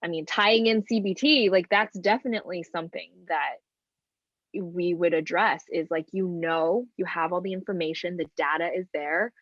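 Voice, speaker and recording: Low-voiced woman, dry dull sound. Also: no echo. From China. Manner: thoughtful, measured explanations. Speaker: nutritionist guest. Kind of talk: casual podcast interview.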